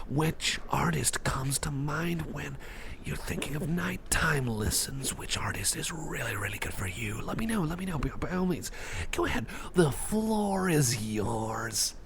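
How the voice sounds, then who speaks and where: A man's voice: a little high, expressive, unhurried, creaky, a bit bright, a little hiss or hum, exaggerated voice. A man playing a jittery, socially anxious, over-caffeinated guy in an ASMR roleplay, rambling and stammering as he repeats himself. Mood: eager, rambling. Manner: rambling, stammering, repeats phrases, self-doubting. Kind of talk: ASMR roleplay monologue addressed to the listener.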